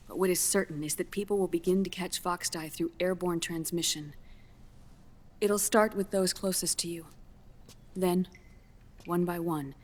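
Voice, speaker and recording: A woman playing a grave scientist in a video game cutscene, explaining grim findings in a measured, solemn way. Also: fairly low pitch, a little mellow, lightly acted. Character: grave female scientist delivering a grim diagnosis.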